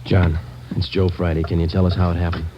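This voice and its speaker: Old radio police detective male actor: low voice, quick clipped delivery, dogged and businesslike, noisy recording. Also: flat delivery, lo-fi sound, natural voice. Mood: gentle urgency. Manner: clipped, businesslike, insistent questioning. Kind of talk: 1940s-50s radio drama acting.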